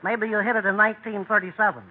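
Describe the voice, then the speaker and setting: An actor playing a pompous, long-winded small-town know-it-all in an old-time radio comedy. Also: male voice, high-pitched, steady tone, quick pace, phone-quality sound, close to an everyday voice. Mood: self-important. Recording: thin, noisy old radio recording.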